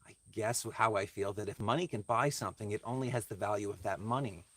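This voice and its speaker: A man's voice: on the low side, fast, fairly bright tone, no room sound. Reflective man chatting on a livestream. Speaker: craftsman livestreaming to viewers. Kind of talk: casual livestream chat, answering viewer comments.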